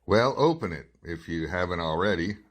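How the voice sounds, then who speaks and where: An actor playing a sardonic, grumbling, world-weary night reporter in a 1950s-style radio drama. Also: male voice, sits low, lively intonation, normal speed. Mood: irritated. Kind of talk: 1950s radio detective drama narration and dialogue.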